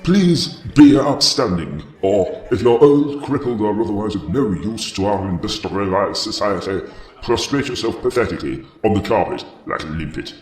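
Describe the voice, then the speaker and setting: A man plays a grandiose herald in a comic radio play. His ceremonial announcements run in a lowish, varied voice, with echo around them. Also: lively intonation, moderate pace, a little mellow, distant mic, faint music behind, natural voice. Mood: pompous. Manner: ceremonial, booming announcer delivery. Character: grandiose male herald proclaiming royalty. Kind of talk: comic audio drama announcement.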